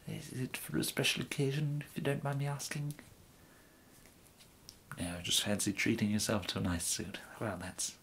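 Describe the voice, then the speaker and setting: A young man with an English accent softly whispering an ASMR roleplay as a polite, hesitant gentleman tailor. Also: medium pitch, little pitch movement, moderate pace, slight background noise. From England. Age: young adult. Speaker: ASMR performer playing a tailor.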